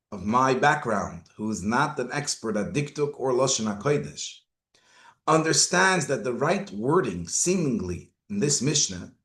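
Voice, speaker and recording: Animated rabbi teaching in an echoey room. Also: male voice, medium pitch. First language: not Hebrew. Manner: rhetorical questions, Hebrew and Yiddish terms. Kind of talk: Torah lecture.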